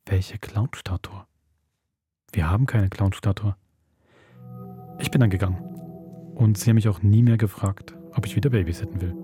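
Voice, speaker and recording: A man hosting a horror podcast, telling a scripted story in a measured, suspense-building way. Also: low voice, warm tone, slightly rough, dry recording. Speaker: podcast host and producer.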